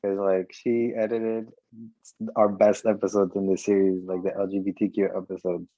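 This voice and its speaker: Halting young man, low voice. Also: little pitch movement, mellow, dry recording, highs cut off. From Mexico. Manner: halting, laughing, filler-heavy. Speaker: podcast co-host. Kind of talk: casual chat.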